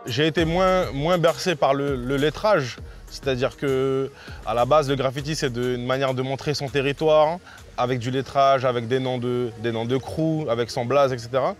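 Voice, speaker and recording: A man from French Guiana speaking casually as an interviewed graffiti artist, in a halting way with repeated words. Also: medium pitch. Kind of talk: casual spoken interview about own work. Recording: loud music under the voice.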